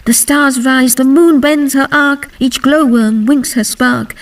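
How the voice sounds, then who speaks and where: Woman as a worried young maiden, high voice, urgent earnest pleading, audio drama verse, distant from the mic. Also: fairly even intonation, slow pace. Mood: anxious pleading. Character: anxious, cautious young maiden, pleading protective sister.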